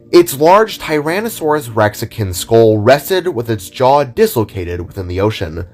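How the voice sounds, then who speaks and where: A man narrating a scripted audio drama as a dramatic storyteller. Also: medium pitch. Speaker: narrator and writer. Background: music.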